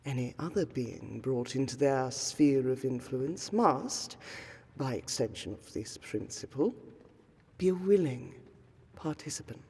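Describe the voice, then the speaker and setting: A woman playing an aristocratic vampire scholar in a fantasy audio roleplay, speaking formally and deliberately with weighty pauses. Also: a bass voice, lively intonation, warm tone, breathy edge, exaggerated voice. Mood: solemn, formal. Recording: long hall-like reverb under prominent music.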